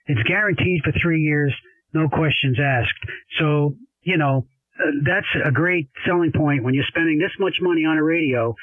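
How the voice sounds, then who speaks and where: A man's voice: on the high side, dry recording. Man, amateur radio operator, narrow radio sound, very dry, folksy casual chat, enthusiastic. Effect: transmitted over ham radio. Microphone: desk radio microphone.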